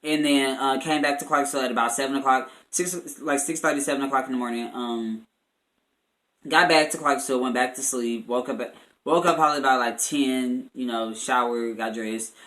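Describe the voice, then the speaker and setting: Bright-voiced young American man telling a story. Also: mid-range voice. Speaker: vlogger. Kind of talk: casual storytime chat.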